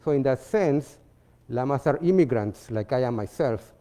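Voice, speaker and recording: A man from Peru with a Peruvian Spanish accent, presenting his artwork in a seminar talk, halting with fillers and restarts. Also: mid-range voice, lively intonation, mellow. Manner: halting, many fillers and restarts, non-native phrasing. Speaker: visiting artist giving a seminar talk.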